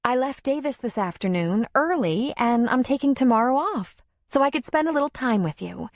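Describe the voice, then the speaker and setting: A woman's voice: animated, moderate pace, no room sound, clean studio sound. Woman voicing a tearful, demanding woman. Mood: indignant. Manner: high, tearful, insistent. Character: hurt, demanding woman pleading with her lover.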